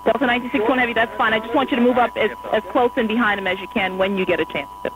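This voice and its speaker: Woman, air traffic controller, fast and courteous, radio hiss and hum, airport tower. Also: fairly high pitch, fairly even intonation. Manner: brisk, polite and apologetic. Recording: noisy radio transmission with hum.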